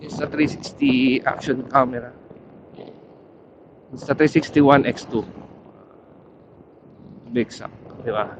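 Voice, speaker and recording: A Filipino man talks at a slow, halting pace, like a casual ride vlog. His voice comes through a dull, muffled helmet intercom mic. Faint vehicle noise runs behind him outdoors. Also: medium pitch, steady tone. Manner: halting, pausing to search for words. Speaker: motorcycle ride vlogger. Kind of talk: casual vlog talk while riding. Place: outdoors, riding a motorcycle. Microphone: helmet intercom headset.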